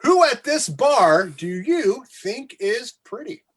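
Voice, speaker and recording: A man's voice: very high-pitched, big pitch swings, takes it slowly, a bit bright, husky, full character voice. A man acting in a comedy radio play as a sardonic, goading radio co-host with a mocking, exclamatory delivery. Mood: gleeful goading.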